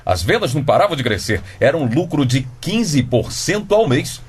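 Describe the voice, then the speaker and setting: A man's voice: a little high, sing-song, normal speed, slightly rough. An actor playing a stern, pedantic middle-aged father with a Brazilian accent in a comedic radio drama. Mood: matter-of-fact. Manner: pedantic, sardonic, exasperated.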